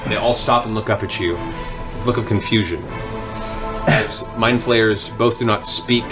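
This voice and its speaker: Game master over clear background music. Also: male voice, lowish voice, a little slow. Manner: dramatic, descriptive storytelling. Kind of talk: narrating a tabletop fantasy game. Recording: music bed under the voice.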